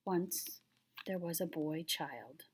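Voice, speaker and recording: A patient old grandfather read by a woman in a children's storybook. The voice is low and unhurried, with a solemn, tender gravity in the telling. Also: slight background noise. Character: patient, solemn old Navajo grandfather recounting a birth tale. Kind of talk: children's picture book read aloud.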